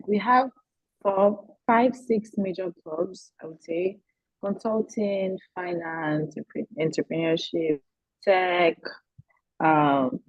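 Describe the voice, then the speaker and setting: A young woman student answering questions on a video call, chatty and lively, full of "like" and "you know". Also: fairly low pitch, no echo. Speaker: current MBA student panelist. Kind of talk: casual spoken answer. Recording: dull lo-fi video-call audio.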